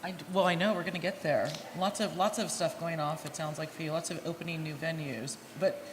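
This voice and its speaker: An American woman interviewing a guest on a conference stage, chatty and loud-voiced, often breaking off and restarting her questions. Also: low voice, fairly even intonation, a little fast. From United States. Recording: reverberant hall, faint noise.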